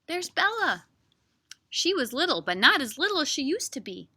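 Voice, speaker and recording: A woman hosting story time as a children's librarian, reading and chatting to young children in an animated, sing-song, encouraging way. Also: high voice. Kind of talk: expressive read-aloud and chat for young children.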